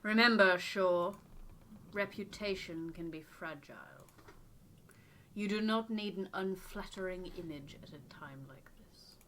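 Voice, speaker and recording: Woman voicing a formal, bright-toned cautionary advisor. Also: lowish voice, a little slow, a little hiss or hum. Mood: stern advice. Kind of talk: voiced video game dialogue.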